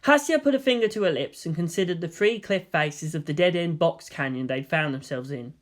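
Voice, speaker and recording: A narrator reading a fan fiction story aloud in an expressive, scripted storytelling style. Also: mid-range voice, a little fast.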